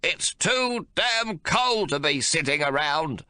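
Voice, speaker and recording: A man voicing an irascible, half-blind very old man who shouts bluntly. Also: on the high side, animated, takes it slowly, dry recording, studio quality, voice pushed up, exaggerated voice. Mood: irate.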